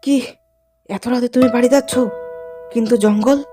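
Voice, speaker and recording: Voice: medium pitch, steady tone, normal speed, over music, natural voice. A woman playing a kindly, cautious older village uncle in cartoon dialogue. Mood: concerned.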